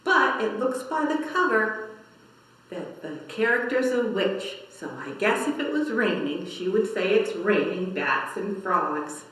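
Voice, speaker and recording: Bright, echoey woman reading to children. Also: medium pitch, big pitch swings. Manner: measured, addressing young children. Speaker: library story time reader. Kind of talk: children's read-aloud, expressive storytelling. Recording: very echoey room, slightly distant mic.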